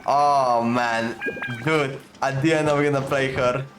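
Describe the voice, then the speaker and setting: A man's voice: medium pitch, fairly even intonation, slow. A young man doing casual, slangy gameplay commentary, reacting informally as he plays. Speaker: gaming streamer. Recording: voice over game music. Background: game music.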